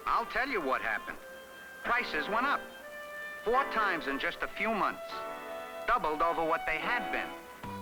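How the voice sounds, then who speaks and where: An actor playing a gas station owner who narrates an educational film in a chatty, explanatory way. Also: male voice, fairly high pitch, low-quality recording. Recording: 1970s educational film soundtrack with music.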